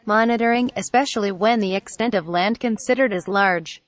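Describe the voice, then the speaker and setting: A woman's text-to-speech voice reading technical text aloud in a measured, impersonal manner. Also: mid-range voice, no room sound.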